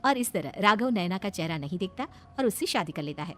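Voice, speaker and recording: A woman's voice: mid-range voice, animated, brisk. A woman reading scripted narration for a cartoon story. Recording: music behind the voice.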